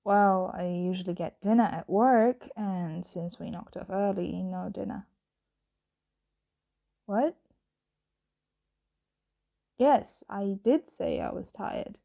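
A woman playing a tired, secretive vampire roommate in an ASMR roleplay, speaking softly with hesitant, evasive stammers. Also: mid-range voice, slow, vocal fry, long pauses. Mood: evasive, weary. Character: flustered young female vampire roommate, tired, hungry, secretive. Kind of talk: ASMR roleplay, soft conversational acting.

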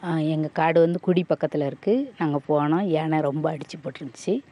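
A woman's voice: deep voice, a little fast, warm tone. A middle-aged woman, recounting her experience in a news interview. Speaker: local villager interviewee. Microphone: handheld reporter microphone.

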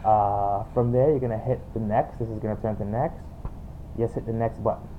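Tinny, low-voiced Trinidadian man. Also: steady tone, normal speed. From Trinidad and Tobago. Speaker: tutorial presenter. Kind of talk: informal screen-recorded software tutorial. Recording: tinny phone-like home recording, background noise.

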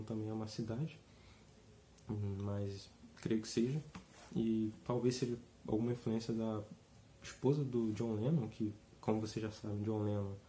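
A young man with a slight cold, giving a relaxed, rambling guitar lesson from a small room. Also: fairly low pitch, a little hiss or hum. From Brazil. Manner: rambling, relaxed, many fillers and restarts. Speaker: guitar teacher. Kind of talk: casual video lesson. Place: small room indoors, seated with an acoustic guitar.

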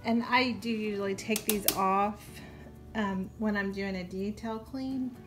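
A young woman talks in a loose, chatty way, full of ums and restarts. Her voice sits in an ordinary middle pitch and is recorded in a home kitchen. Music plays clearly underneath her voice. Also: fairly even intonation, measured pace. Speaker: home cleaning vlogger. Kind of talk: casual talk over a cleaning demo.

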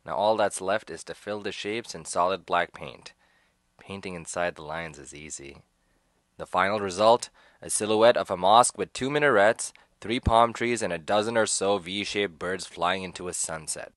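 A man giving an expressive dramatic reading of a first-person memoir, in a storytelling manner. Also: deep voice, moderate pace, no echo, clean studio sound. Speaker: performer reading a literary piece.

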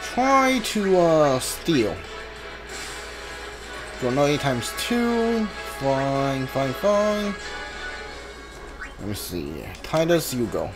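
A man gives casual commentary while gaming. He goes slowly in short, muttered phrases, yet his pitch moves around a lot. Game music plays clearly behind him. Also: big pitch swings. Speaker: video game streamer.

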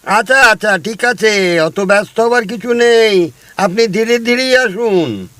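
An actor playing a shrewd, boastful middle-aged landlord in a radio play, with a leisurely, bargaining way of talking. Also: male voice, high voice, expressive, a little slow, pushed and bright, no room sound, full character voice. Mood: hearty.

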